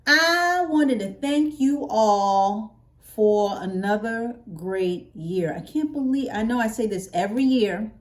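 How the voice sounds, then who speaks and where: An American woman vlogger chatting casually to her viewers in a playful, expressive way, stretching words for emphasis. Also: on the high side, slow. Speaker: hair care vlogger.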